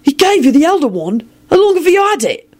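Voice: mid-range voice, very animated, normal speed, husky, pitched above the performer's own voice. A narrator voicing a stubborn, awkward young man in a story, nervy and given to swearing. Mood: amazed.

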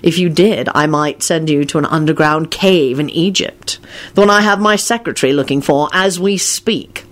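A woman's voice: sits low, lightly acted. A stern, superior storybook man, acted out by a woman. He draws his words out with heavy sarcasm, sounding polite on the surface and scornful underneath. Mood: coldly sarcastic. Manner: slow, drawling, heavily sarcastic.

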